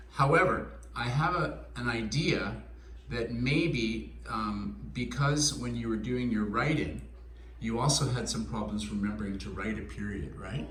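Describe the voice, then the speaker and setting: A man's voice: medium pitch, a little hiss or hum. A man teaching English, giving a student simple, encouraging feedback. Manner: encouraging, explains slowly and simply. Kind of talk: classroom feedback. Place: classroom. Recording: echoey classroom, distant mic.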